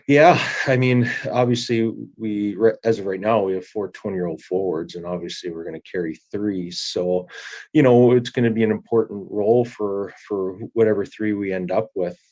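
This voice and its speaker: A man answering interview questions as a hockey coach, halting and repeating words as he thinks. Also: lowish voice, darkish tone.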